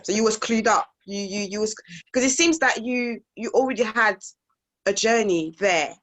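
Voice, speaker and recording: A woman's voice: medium pitch, normal speed, a bit bright. A woman in her forties co-hosting an interview, affirming and encouraging the guest. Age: 40s. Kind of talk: casual interview talk.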